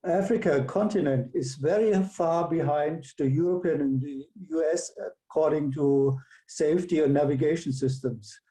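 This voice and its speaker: A man answering as an interview guest, halting and searching for his words. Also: on the high side, dark tone, low-quality recording.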